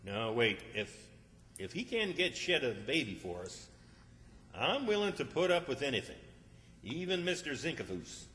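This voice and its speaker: A man acts out a folksy country fellow forever exasperated and worried. His bright voice leaps up and down as he stammers objections in radio comedy style, ringing through a hall. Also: medium pitch, very animated, unhurried, slight background noise. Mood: anxious. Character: exasperated, anxious rural man in a fix. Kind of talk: old-time radio comedy acting. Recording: reverberant hall stage recording.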